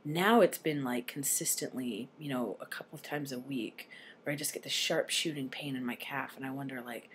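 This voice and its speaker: A young adult man with a voice slightly deepened early in transition, talking casually and candidly in a personal vlog, with some swearing. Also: moderate pace, fairly bright tone. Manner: candid, self-deprecating, sweary. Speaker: video blogger. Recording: noisy home recording.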